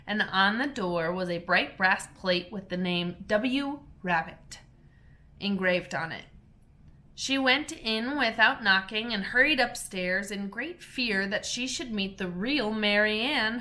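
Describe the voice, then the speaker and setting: A woman, a teacher, reading a children's storybook aloud in a gentle, clear storytelling manner. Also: mid-range voice.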